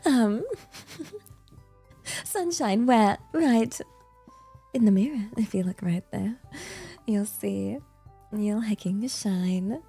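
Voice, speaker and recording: A woman with an exaggerated posh British accent, chatting casually to livestream viewers in a playfully prim, flustered manner with a breathy voice. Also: mid-range voice, extremely slow, pauses often, mellow, faint music behind. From Britain. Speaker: livestream host.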